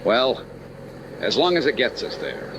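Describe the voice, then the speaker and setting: A man acting a courteous insurance investigator with a very animated, swinging delivery. He is businesslike with a wry, teasing edge, in the manner of an old radio detective serial. Clear noise sits behind the voice. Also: medium pitch, unhurried, close to an everyday voice. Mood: curious, teasing.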